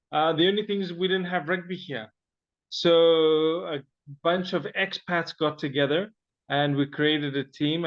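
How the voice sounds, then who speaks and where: A man from Zimbabwe recounts his own story as an interview guest, pausing often and restarting his sentences. His voice sits a little high. The recording sounds dull and lo-fi. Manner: halting, frequent fillers and restarts.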